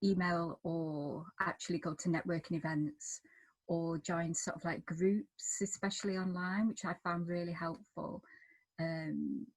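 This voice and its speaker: A woman speaks informally as a writer on a panel, offering practical advice. Her voice is a little low and slightly rough, with a breathy, husky edge. She is encouraging and chatty. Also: fairly even intonation, unhurried, low-quality recording. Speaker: television writer giving advice.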